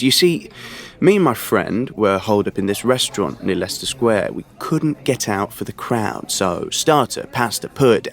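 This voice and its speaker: An actor playing a nervous, hesitant young British man in an audio play, stumbling over his words. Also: on the low side. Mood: reflective, searching. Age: young adult. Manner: hesitant, stammering, self-interrupting. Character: awkward, nervous young man, eager and self-reproaching. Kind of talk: dramatic monologue and dialogue, audio play.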